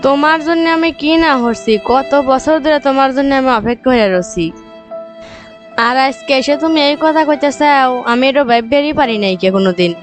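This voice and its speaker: Female actor as young cartoon businesswoman, hurt and reproachful, high register, music bed. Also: expressive, a little fast. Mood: hurt, accusing. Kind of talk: comic cartoon dialogue.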